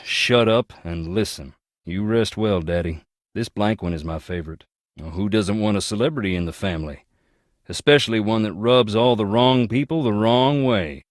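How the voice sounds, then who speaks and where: A man's voice: lowish voice, no echo, studio quality. An actor playing a folksy, wry Southern man who hunts ghosts, speaking with a Southern US accent and a drawl in a video game. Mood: storytelling. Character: folksy Southern ghost hunter, wry, haunted by family losses. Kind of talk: video game character dialogue.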